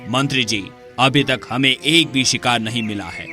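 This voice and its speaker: A man's voice: mid-range voice, moderate pace, over music, close to an everyday voice. A man playing a proud, commanding king in a dramatised moral story. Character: proud male kings, one generous, one merciless.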